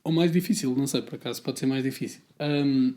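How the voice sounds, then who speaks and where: Laid-back podcast host, mellow voice. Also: male voice, mid-range voice, fast talker. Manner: relaxed, rambling, with filler words. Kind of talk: casual conversational interview.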